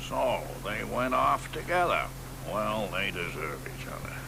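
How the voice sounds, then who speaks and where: An actor playing an aging, sardonic poet in a radio drama, talking slowly with dry, muttered asides. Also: male voice, lowish voice, gravelly, full character voice. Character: aging poet Ezra Pound, bitter and sardonic. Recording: radio broadcast with steady background noise.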